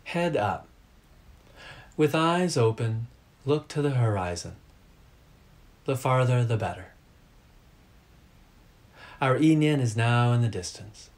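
A man teaches a guided meditation at a very slow pace. His voice sits at a medium pitch. He delivers short, measured instructions in a calm and deliberate way.

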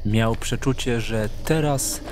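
A man's voice: medium pitch, takes it slowly, background noise. A man narrating in a scripted, dramatic storytelling style.

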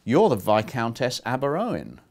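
A man reading a novel aloud, voicing a warm, direct middle-aged Welsh woman with an air of authority. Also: medium pitch, huge pitch swings, moderate pace. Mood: surprised, discreet. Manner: pleasant, direct, easy warmth. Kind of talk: audiobook-style character reading.

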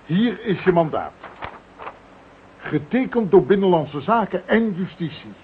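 An actor playing a composed, businesslike police commissioner in a radio play, speaking with measured authority. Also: male voice, high-pitched, lively intonation. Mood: serious, businesslike. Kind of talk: Dutch radio play acting. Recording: thin narrow-band radio recording with hiss.

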